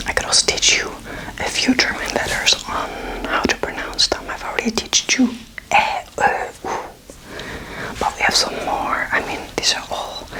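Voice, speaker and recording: Whispering young woman, ASMR tutor. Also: rough recording. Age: 20s. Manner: casual, playful, encouraging. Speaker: host teaching beginner German. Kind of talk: whispered ASMR language lesson.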